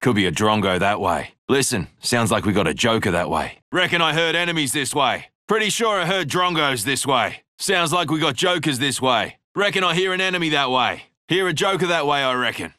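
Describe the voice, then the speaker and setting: A cocky fighter. The delivery is slangy and the tone bright and forceful, recorded very dry. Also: male voice, mid-range voice, animated, a little fast, few pauses, no room sound. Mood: alert, cocky. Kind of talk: video game voice lines.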